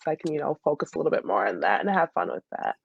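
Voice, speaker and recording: A woman's voice: sits low, sing-song, rapid-fire, vocal fry, breathy edge, no echo. A woman in her twenties chatting casually as an interview guest, informal and easygoing, on slightly muffled audio. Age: 20s. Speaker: interview guest, dental student and soccer player. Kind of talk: casual chat.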